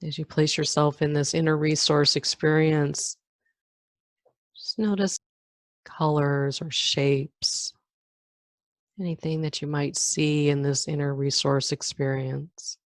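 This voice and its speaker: Low-pitched, slow woman guiding meditation. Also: dry recording. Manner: calm, gentle instruction with long pauses. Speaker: meditation teacher. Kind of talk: guided meditation.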